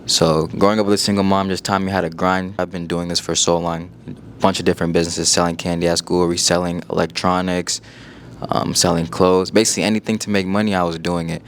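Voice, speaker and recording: Young man, 19, from Iowa, relaxed guest talk, very low and even voice, faint noise behind. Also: quick pace. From United States (Iowa). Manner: laid-back, relaxed, filler-heavy talk. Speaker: guest entrepreneur. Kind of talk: casual interview.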